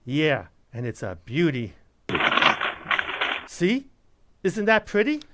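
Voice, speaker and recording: An eager, impish neighbor out of a radio comedy, played by a man. His voice bounces around in pitch, full of chummy, playful teasing. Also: wildly swooping pitch, slow pace, very noisy, heavily acted. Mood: chatty. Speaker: actor in community radio theater. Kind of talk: old-time radio sitcom acting.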